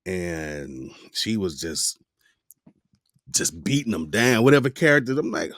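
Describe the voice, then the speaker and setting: Lively, playful male podcast host. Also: low voice, a little slow, no room sound, clean studio sound. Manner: playful, animated, joking asides. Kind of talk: casual interview chat. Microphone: remote video call.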